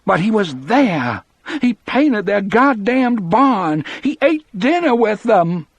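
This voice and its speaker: Guy reading as an agonized smart wife in an audiobook, higher pitched, pleading and fierce, kinda husky. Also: very animated, slow, no room sound, dull audio, exaggerated voice. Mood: agonized protest. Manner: emphatic, pleading, indignant.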